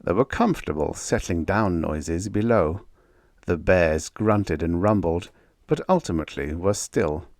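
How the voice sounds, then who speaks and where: A man's voice: sits low, measured pace, a little mellow, dry recording, studio quality. A man reading scripted story narration as an audiobook narrator.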